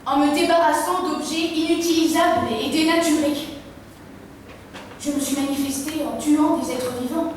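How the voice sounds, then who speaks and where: A woman in her twenties with a high voice recites a measured, repetitive text. She is heard from a distance in a hall with heavy echo. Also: noisy background. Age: 20s. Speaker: drama student. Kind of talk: stage recitation. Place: theatre stage. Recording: echoey hall, distant mic.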